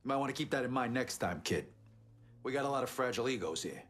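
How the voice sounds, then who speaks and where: An actor playing an older, shrewd mobster in a video game, talking in a measured, patronizing way. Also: male voice, medium pitch, lively intonation, a bit bright. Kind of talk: video game cutscene acting.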